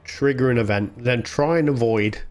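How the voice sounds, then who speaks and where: A man's voice: medium pitch, normal speed, a little mellow. A man hosting a gaming video, chatting casually and thinking aloud as he plays. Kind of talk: casual game play commentary. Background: game music.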